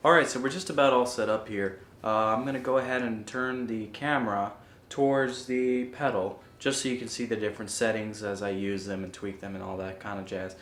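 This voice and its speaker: A man's voice: fairly low pitch, fairly even intonation, a little fast, fairly bright tone, soft background music. A man hosting a gear review video, explaining settings in an informal, hesitant, casual way. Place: indoor room with guitar amp. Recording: roomy, distant mic with faint noise.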